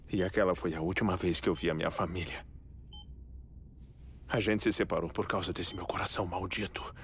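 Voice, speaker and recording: A man with a Brazilian accent acting a grieving, eccentric scholarly scientist in a dubbed video game, telling things in a measured, reflective way. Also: low voice, a little hiss or hum, close to an everyday voice. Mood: melancholy, reflective.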